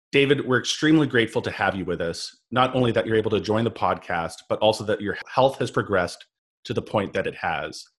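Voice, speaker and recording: Man, podcast host, low voice, halting questions, repeats words. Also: husky. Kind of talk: interview podcast.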